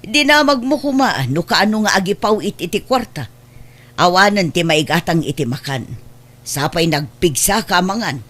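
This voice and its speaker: A woman's voice: on the low side, lively intonation, normal speed, fairly bright tone. A woman with an Ilocano accent playing a complaining, money-grasping middle-aged aunt in an audio drama. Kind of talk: Ilocano radio-style drama acting.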